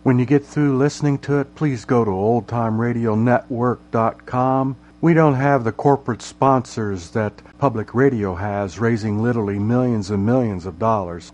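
A man's voice: mid-range voice, a little mellow. An older man speaking as a podcast host, making a fundraising appeal straight to his listeners.

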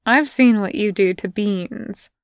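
Woman reader as a grounded young woman, steady and unfussy, clear tone. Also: mid-range voice, slow pace, no echo, studio quality. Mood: wry. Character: steady, gruff but caring young woman. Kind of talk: podfic character voice.

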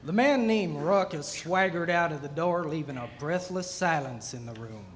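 A man's voice: a little high, animated, normal speed, natural voice. A man playing a tavern master who tells a comic fantasy western tale in a wry, folksy way. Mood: storytelling. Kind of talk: narration in comic audio theatre. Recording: noisy, reverberant live theatre hall.

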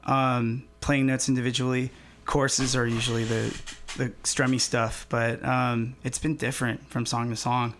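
A man in his twenties, a musician, talking casually in an interview in a relaxed, rambling way with many pauses. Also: mid-range voice, noisy background. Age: 20s. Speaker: musician guest.

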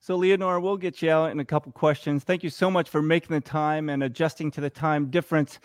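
Halting host with a dry sound. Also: male voice, fairly high pitch, steady tone, no room sound. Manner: friendly, halting, with many "uh" pauses. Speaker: interview host. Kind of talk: casual interview conversation.

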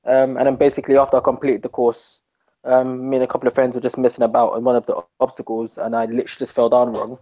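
A man's voice: medium pitch, monotone, fast, mellow, rough recording. A man who is a guest on a podcast, chatting in a laid-back, slangy way. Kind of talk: casual podcast chat.

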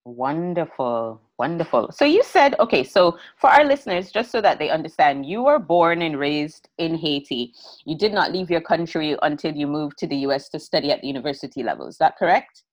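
A woman's voice: low-pitched, expressive, a little fast. A woman hosting an interview, encouraging her guest with short affirmations and clarifying questions. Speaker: interview host.

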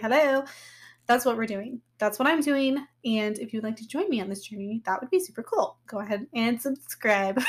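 A woman in her thirties hosting her own podcast, talking candidly and casually with a slightly hoarse voice, often laughing and using fillers. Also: a little high. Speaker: podcast host. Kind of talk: casual personal podcast talk.

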